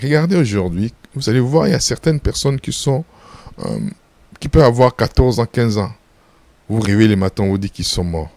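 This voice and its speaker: A pastor from Congo, answering interview questions in an emphatic preaching style, full of repeated phrases and rhetorical questions. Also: male voice, mid-range voice, expressive, brisk, gravelly, mellow, no room sound. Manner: firm and insistent.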